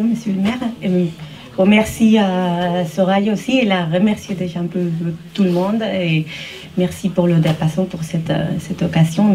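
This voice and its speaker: Woman artist, Mexican accent, soft-toned, earnest and halting public speech, recorded at a distance. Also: mid-range voice. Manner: halting, searching for words, earnest. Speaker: artist, paper sculptor. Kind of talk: informal speech at an exhibition opening. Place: exhibition opening, speaking to guests. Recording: distant microphone, noisy room ambience.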